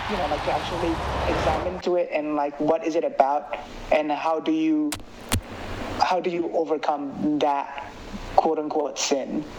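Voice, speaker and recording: A man from Indonesia with an Indonesian accent, chatting casually as an interviewed filmmaker in an animated, rambling way full of filler phrases. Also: a little high, noisy background. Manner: animated, rambling, peppers talk with "like" and "you know". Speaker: filmmaker and writer being interviewed.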